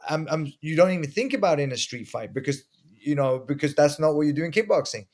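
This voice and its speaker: A man's voice: on the high side, expressive, quick pace, a little mellow. A middle-aged man from Sweden, a guest explaining self-defense in a casual interview with earnest stories and frequent restarts. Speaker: guest, martial arts instructor.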